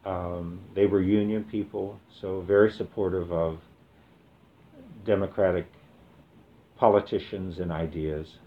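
A seventy-year-old man from the Midwest talks through his memories in an oral history interview, stopping often with "uh" and "um". His voice sits on the low side, and the recording is dull and muffled. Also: fairly even intonation. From American Midwest. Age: 70s. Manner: hesitant, with fillers and pauses. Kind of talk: relaxed reminiscing with reading aloud.

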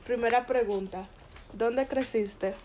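A woman interviewer asking short, soft-spoken questions for an oral history. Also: on the high side, slow, noisy background. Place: Bronx, New York.

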